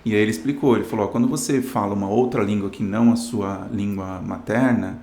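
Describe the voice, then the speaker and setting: A man's voice: fairly low pitch, steady tone, quick pace, darkish tone, echoey room. A Brazilian man in his thirties, a guest telling his story in an earnest, casual way, often adding "né". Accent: Brazilian Portuguese. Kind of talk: casual interview chat.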